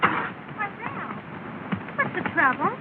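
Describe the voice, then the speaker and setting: A woman's voice: very high-pitched, sing-song, drawn-out words, heavily acted. An actress playing a poised, kindly, lightly teasing young society woman in a 1930s film. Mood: pleased, polite. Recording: tinny, noisy 1930 film soundtrack.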